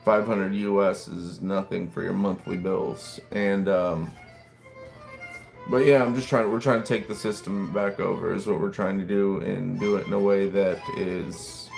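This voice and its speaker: A man in his late thirties talks loosely and rambles through a casual livestream, answering viewers as he goes. His voice sits low and carries a gravelly rasp. Soft classical music plays quietly behind him in the restaurant where he waits. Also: steady tone, a little slow. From United States. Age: late 30s. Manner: rambling, laid-back, chatting to viewers and reacting to comments. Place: restaurant, waiting for food.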